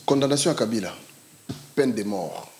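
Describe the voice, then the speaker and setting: A man's voice: medium pitch, animated, normal speed, a little mellow. A man interviewing a guest, giving short murmured acknowledgements and prompts.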